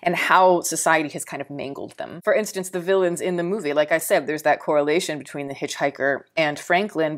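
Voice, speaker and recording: Woman, Texan accent, low pitch, film reviewer, casual rambling delivery, fillers and restarts. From Texas. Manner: rambling, thinking aloud with frequent fillers and repeated words.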